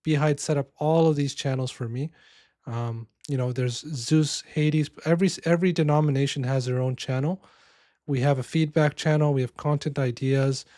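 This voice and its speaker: A man with a hoarse voice from being sick, giving loose casual commentary on a strategy game and often restarting his phrases. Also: on the high side, steady tone, moderate pace, warm tone, dry recording, clean studio sound. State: hoarse, losing voice from illness.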